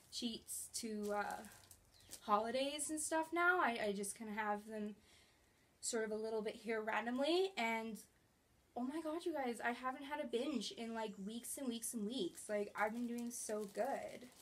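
A young woman casually chatting through a grocery haul in her small apartment, bubbly and gesturing as she talks, recorded on a camera a few feet away. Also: a little high. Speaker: vlogger. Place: small studio apartment bedroom, indoors.

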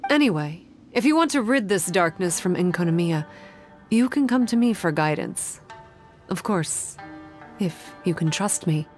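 A young shrine maiden in a fantasy game, composed and guarded. She speaks formally in a slightly low register, measured and reserved, with music playing clearly behind her. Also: lively intonation, a little mellow. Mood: composed, courteous. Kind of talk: video game voice acting, expository dialogue.

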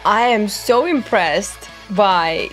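A woman in her twenties giving casual, expressive reaction commentary as a game streamer. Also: medium pitch, takes it slowly, rough recording. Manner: excitable, expressive. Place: small furnished bedroom, indoors. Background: game music.

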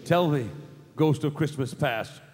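An actor playing a fearful, regretful old miser in a staged radio play, with a theatrical, halting delivery. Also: male voice, on the high side, background noise, natural voice. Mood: wistful. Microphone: stand microphone. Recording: large theatre PA with long reverb.

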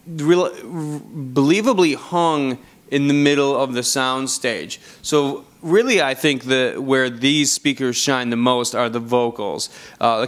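Clear-voiced man in casual review voice-over. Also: mid-range voice, a bit bright. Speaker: product reviewer, channel host.

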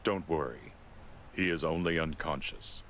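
An actor playing a big, grave and earnest male android with formal, measured speech in a game. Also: very deep voice, animated, slight background noise, heavily acted. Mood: grave, calm. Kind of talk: video game cutscene acting. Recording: loud music under dialogue.

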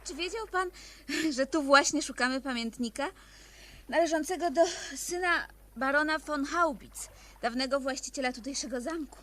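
An actress in a 1970s Polish radio play, playing an earnest, wistful young woman researcher with an expressive delivery. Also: sits high, moderate pace. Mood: earnest, resigned. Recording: old radio recording, faint noise.

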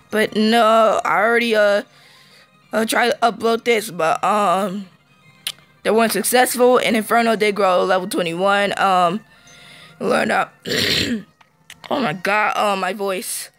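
A man with a sore, hoarse voice talks over his gameplay slowly and haltingly, filling gaps with ums and clearing his throat. The sound is dry and close, picked up on a phone. Faint game music plays behind him. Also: high voice, bright, forceful tone, no echo. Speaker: let's play gamer. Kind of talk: casual gameplay commentary.

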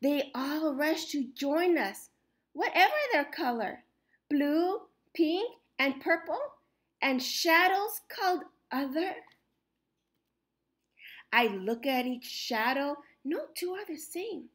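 A woman storyteller reading a rhyming children's picture book aloud expressively, with deliberate, dramatic phrasing and emphasized words. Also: high voice, expressive, fairly bright tone.

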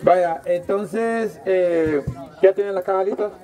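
A Salvadoran man with a slightly high voice announces informally to a crowd outdoors. He gives colloquial instructions and repeats himself as he organizes things, with plenty of people audible around him. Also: takes it slowly. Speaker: host handing out toys. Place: outdoors on a village dirt road. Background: crowd of children and adults.

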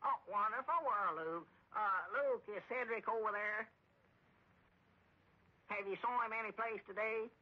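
An actor playing a muddled, gullible old storekeeper with a rural Southern American accent, in a folksy, rambling radio comedy style. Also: male voice, high-pitched, a little slow, long pauses. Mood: flustered. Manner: rambling, folksy, easily confused. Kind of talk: 1930s-40s radio comedy acting. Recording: dull old radio recording.

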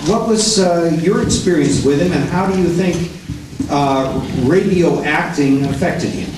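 A man's voice: a little high, measured pace. A man in the audience asking a question hesitantly, away from the microphone. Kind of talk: spontaneous question. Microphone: off-mic, far from the microphone. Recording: distant, very echoey room, noisy.

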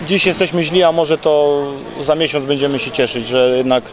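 A man's voice: on the high side, normal speed, poor audio. A man in his thirties, a boxing coach, giving hesitant post-fight interview answers while searching for words. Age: 30s. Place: indoors at arena interview backdrop. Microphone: handheld interview mic. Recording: very loud crowd noise behind.

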